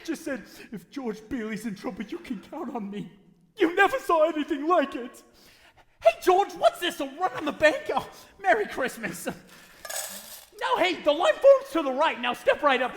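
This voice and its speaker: A man playing an excitable, jubilant old uncle in an old-time radio play. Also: very high-pitched, moderate pace. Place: live stage in a hall. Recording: echoey hall, live stage taping.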